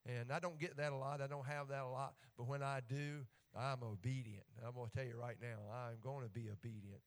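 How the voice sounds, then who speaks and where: A man's voice: mid-range voice, fairly even intonation, a little fast. A man with an Appalachian accent preaching a sermon in a folksy, joking way that rises to fervent emphasis when he reads scripture.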